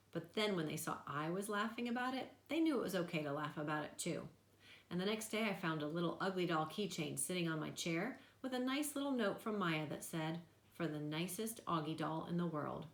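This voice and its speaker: A woman giving an expressive read-aloud of a children's novel. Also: fairly low pitch, roomy sound.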